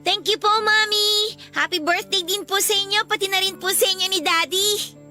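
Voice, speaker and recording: A woman voicing a sweet, excited, polite little daughter with a Filipino accent in a radio drama. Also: very high-pitched, normal speed, over music. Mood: grateful, excited. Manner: childlike, polite, eager.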